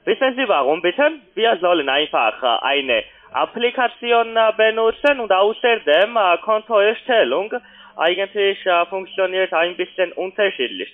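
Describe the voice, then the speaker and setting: A man with a foreign accent in German giving a hesitant, polite sales pitch as a call centre agent, often ending on little questions. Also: expressive, measured pace. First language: not German. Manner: hesitant, polite, repeatedly tags sentences with questions. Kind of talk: halting phone sales pitch. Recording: muffled, narrow telephone line.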